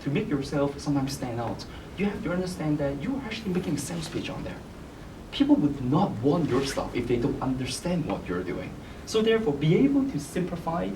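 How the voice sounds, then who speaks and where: A man on a panel giving advice in English, repeating key phrases as he lists points. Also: on the high side. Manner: advisory, repeats "be able to". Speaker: startup founder panelist. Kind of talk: panel Q&A answer. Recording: echoey hall, distant mic, noisy.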